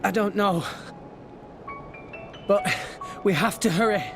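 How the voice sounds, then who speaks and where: A man's voice: sits high, takes it slowly, over music. A man playing an earnest, determined teenage boy hero in a video game, with an urgent manner. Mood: shaken, urgent. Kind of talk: video game cutscene acting.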